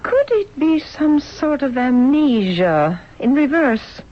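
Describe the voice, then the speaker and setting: An actress playing an earnest, gently hesitant and supportive hospital nurse in a 1970s radio mystery. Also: female voice, sits high, big pitch swings, takes it slowly, exaggerated voice. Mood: concerned, supportive. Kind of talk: 1970s radio drama acting. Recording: dull lo-fi old radio recording.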